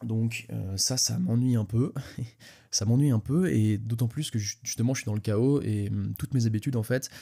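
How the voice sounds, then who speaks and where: A man's voice: medium pitch, normal speed, vocal fry, dark tone. A young man with a cold, sniffling as he hosts a casual solo podcast, rambling with many restarts and speaking straight to the listener. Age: young adult. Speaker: podcast host.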